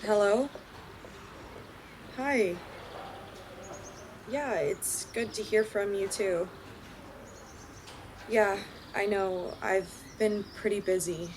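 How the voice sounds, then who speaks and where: A private, evasive young woman in an audio drama. She talks slowly, with hesitant pauses. Outdoor ambience, with birds and insects, is clearly audible behind her. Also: medium pitch, darkish tone, a little hiss or hum.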